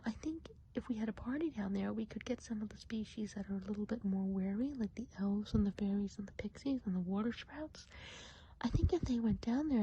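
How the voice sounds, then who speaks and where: A woman voicing a chatty woodland witch in an ASMR roleplay, whispering softly and breathily in a gossipy, confiding way. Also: on the high side, slightly rough, dull audio. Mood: chatty, enthusiastic. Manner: gossipy, confiding, hesitant casual phrasing. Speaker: ASMR performer.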